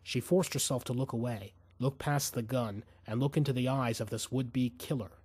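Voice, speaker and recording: A man's voice: mid-range voice, steady tone, moderate pace, darkish tone, husky, dry recording. A man narrating fiction as a dramatic audiobook reader, intense and suspenseful.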